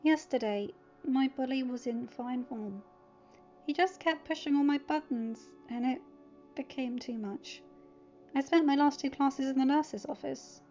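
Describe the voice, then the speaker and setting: A woman voicing a bullied teenage boy, soft-spoken, hesitant and vulnerable, in a story reading. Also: sits high, measured pace, lo-fi sound, soft background music, voice pushed up. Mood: hurt, subdued.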